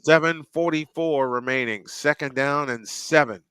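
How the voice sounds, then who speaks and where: Bright, smooth-voiced male play-by-play caller. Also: a little high, normal speed. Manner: folksy, chatty storyteller between plays. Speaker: play-by-play announcer. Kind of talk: fan livestream play-by-play commentary.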